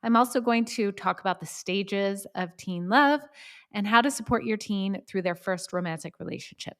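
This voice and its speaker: A woman's voice: mid-range voice, moderate pace, a bit bright. A woman of about fifty hosting a solo podcast, giving conversational advice in an informal way and putting questions straight to the listener. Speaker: podcast host and parenting coach.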